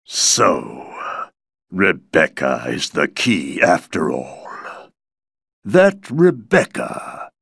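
A man playing a scheming old wizard villain in a video game, with a gravelly, raspy voice and a menacing, brooding manner. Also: low-pitched, huge pitch swings, extremely slow, pauses often, a bit bright, no echo, clean studio sound, full character voice. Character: scheming old male wizard hungry for forbidden magic.

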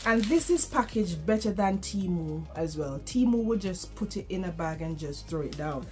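A woman's voice: on the low side, animated, normal speed, over music. A young woman chatting candidly in a haul vlog, rambling through asides as she unboxes things in her bedroom. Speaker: vlogger. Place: bedroom, indoors.

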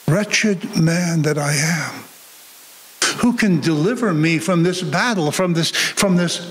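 A man's voice: sits high, a bit bright, rough recording. An older man in his seventies, a Lutheran pastor preaching a sermon earnestly in phrases that often repeat a word. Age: older, about 70s. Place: church sanctuary, indoors. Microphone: gooseneck lectern microphone.

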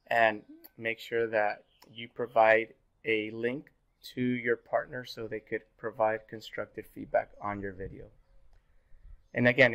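Slightly hoarse man teaching online. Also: medium pitch. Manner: relaxed, filler-heavy, repeats "go ahead". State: a little sick and hoarse. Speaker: university instructor. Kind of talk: pre-recorded online lecture. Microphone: webcam in corner of screen recording.

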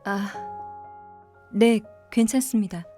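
An actress playing a polite, composed and quietly cheeky young new office employee in a Korean audio drama. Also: female voice, mid-range voice, slow pace, faint music behind, close to an everyday voice.

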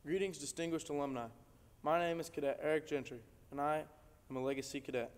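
Brief: teen boy cadet from Nebraska, smooth resonant voice, earnest, echoey auditorium. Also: a little high, fairly even intonation, fast. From Nebraska, USA. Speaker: military academy cadet. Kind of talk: formal memorized speech. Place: dark auditorium stage. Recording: echoey hall, distant mic.

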